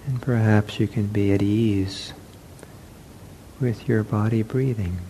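A man teaching a guided meditation in gentle, soothing short phrases with long pauses. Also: on the low side, fairly even intonation, a little hiss or hum.

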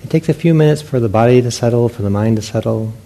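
Male meditation teacher, dark relaxed tone with gravelly fry, speaking gently in spaced-out phrases. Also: medium pitch. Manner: unhurried, gentle guidance with long pauses. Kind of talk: guided meditation instruction to a group. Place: meditation hall with a group. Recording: faint background noise.

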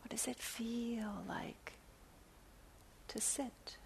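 A woman teaching guided meditation in a soft, breathy near-whisper, gently and with long pauses between phrases. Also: fairly high pitch, steady tone. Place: meditation center. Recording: clear background hiss.

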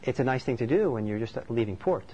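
A man's voice: medium pitch, animated, warm tone. Man from norway giving a dharma talk, easygoing and reflective, kinda muffled recording w faint hiss. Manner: thoughtful, conversational, unhurried. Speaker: meditation teacher. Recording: slightly dull recording with faint background noise.

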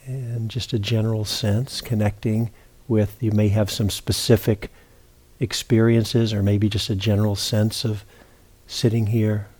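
A man with a low, very mellow and relaxed voice leads a guided meditation. He speaks as a teacher, gently and without hurry, leaving long pauses between short phrases.

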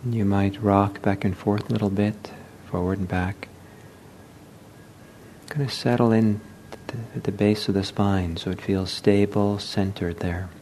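A man leading a guided meditation as a teacher, speaking gently and unhurriedly with halting pauses. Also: steady tone. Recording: low rumbling background noise.

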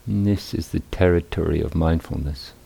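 A man with a very low, creaky voice leads a guided meditation as its teacher. His phrasing is deliberate and a little hesitant, with long pauses between short phrases. The tone is dark and mellow. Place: meditation retreat center.

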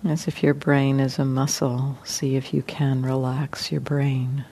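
Slow, unhurried woman guiding meditation. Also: very deep voice, little pitch movement, a little hiss or hum. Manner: gentle, unhurried, with long pauses between short phrases. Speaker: meditation teacher. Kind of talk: guided meditation.

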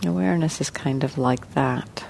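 A woman meditation teacher leading a guided meditation, speaking slowly and gently with long pauses. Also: rumbling low, creaky, slight background noise.

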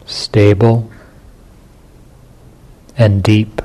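A man guides a meditation in a low, even voice. He takes his time, setting down short phrases with long pauses between them. The delivery is very slow and stays within a narrow range of pitch. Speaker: meditation teacher.

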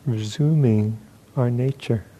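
A man leading a guided meditation as a teacher, speaking in short, unhurried phrases with long pauses between them. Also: medium pitch, mellow, muffled recording.

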